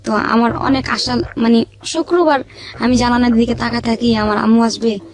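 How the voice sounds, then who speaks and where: A woman's voice: on the high side, fairly even intonation, normal speed, a crowd in the background. A young woman tells a personal life story, repeating phrases as she goes. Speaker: guest telling her life story. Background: background music.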